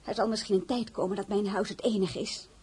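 An actress playing a proud, principled foreign-born crown princess in a radio drama, speaking with formal, deliberate dignity. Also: female voice, mid-range voice, steady tone, a little mellow, natural voice. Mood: earnest, resolute. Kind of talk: dramatized novel, radio play acting. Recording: clearly noisy recording.

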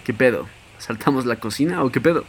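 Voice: medium pitch, a little fast, slight background noise, lightly acted. An actor playing a cocky, foul-mouthed teenage boy with a Mexican accent in an audio drama, full of brash slang. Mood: brash, teasing. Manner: brash, crude banter.

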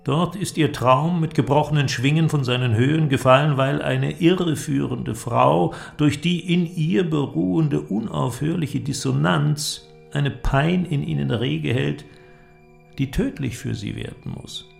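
An actor playing an eloquent, persuasive inventor in a radio drama, with a declamatory, deliberate delivery and ornate phrasing. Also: male voice, a little high, vocal fry, faint music behind. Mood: grave, solemn. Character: eloquent visionary male inventor, grandiose and persuasive. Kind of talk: German literary radio drama acting.

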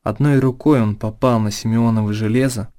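A man giving a dramatic literary narration for radio, solemn and deliberate, breaking the text into short phrases. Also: fairly low pitch, fairly even intonation, dark tone. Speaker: narrator reading literary prose.

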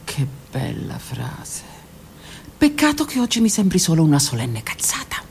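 Woman, theatrical monologue, dramatic and introspective with hushed passages; gravelly texture, faint hiss behind. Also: low voice, huge pitch swings, moderate pace. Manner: introspective, dramatic delivery, drops to whispers. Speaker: stage performer. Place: stage or studio performance.

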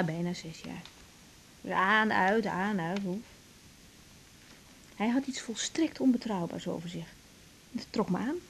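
A woman's voice: medium pitch, lightly acted. An actress playing a troubled young woman doctor, anxious yet affectionate, in an intimate, naturalistic 1980s radio drama. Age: young adult. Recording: 1980s radio drama, faint background noise.

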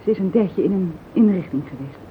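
An actress playing a fragile, lonely widow in a radio drama, with a Netherlands Dutch accent and a halting, pleading way of talking. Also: female voice, mid-range voice, lively intonation, natural voice. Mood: subdued, explaining. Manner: halting, pleading, repeats words. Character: fragile, lonely widowed woman, timid and anguished. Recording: tinny, noisy old radio recording.

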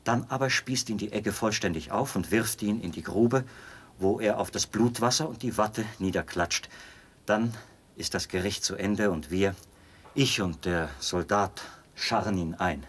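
An actor playing a zealous, pedantic execution officer in a radio drama, explaining with precise, emphatic delivery. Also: male voice, on the low side, measured pace, husky. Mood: matter-of-fact. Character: zealous male execution officer, pedantic, devoted to his machine.

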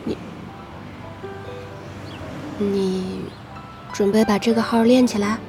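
An actress playing a friendly, supportive young pro gamer chatting familiarly and curiously in a Chinese audio drama. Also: female voice, mid-range voice, fairly even intonation, takes it slowly, over music.

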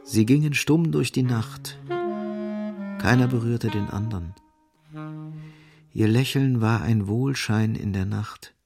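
An actor as a grave, dramatic literary narrator in a radio play. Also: male voice, mid-range voice, animated, slow, long pauses, a little mellow. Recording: music underneath.

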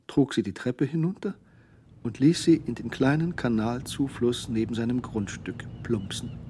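An actor narrating a radio crime drama in a cool, sly, scripted manner. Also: male voice, medium pitch, background noise. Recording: studio radio play with music.

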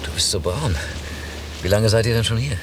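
A man's voice: lowish voice, normal speed, close to an everyday voice. A man acting an easygoing, inquisitive schoolteacher on holiday in a naturalistic radio drama, speaking conversationally. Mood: curious, easygoing. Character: curious male schoolteacher on a beach holiday, easygoing. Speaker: actor. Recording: hissy old cassette of radio play.

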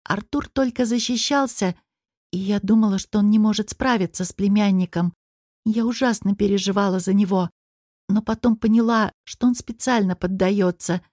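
A woman reading first-person fiction as an expressive audiobook narrator. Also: medium pitch, normal speed, no echo, clean studio sound.

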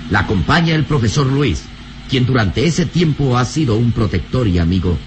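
A man's voice: medium pitch, very animated, moderate pace, close to an everyday voice. A man narrating an old radio serial from a script, in a florid, solemn, theatrical manner. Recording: noisy old radio recording.

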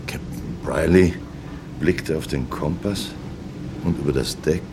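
A man's voice: extremely low pitch, unhurried, noisy background. A man playing a grizzled, resentful old ship's first officer recounting events grudgingly in a radio play. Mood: recounting, uneasy. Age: older. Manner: grudging, salty, mimicking his captain. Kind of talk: dramatic first-person recollection in a radio play.

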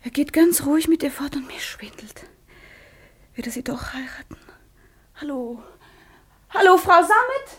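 A woman playing a proud, devout young noblewoman in a radio drama, intense and breathy, with theatrical declamation. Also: high-pitched, very animated, normal speed, pauses often, fairly bright tone. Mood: bewildered, despairing. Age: young adult.

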